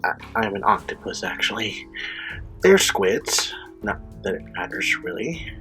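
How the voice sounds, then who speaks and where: A man's voice: lowish voice, very animated, measured pace, sharp tone, faint music behind, heavily acted. A man playing a meek, awkward, friendly octopus legionnaire with a hesitant, apologetic manner in an audio drama. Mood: mild.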